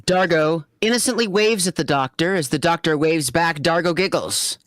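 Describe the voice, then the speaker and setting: A woman's voice: fairly low pitch, normal speed, no room sound, clean studio sound. A woman narrating a comedic story reading in a chatty way, stumbling over words and correcting herself. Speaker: narrator and host of a fanfiction reading.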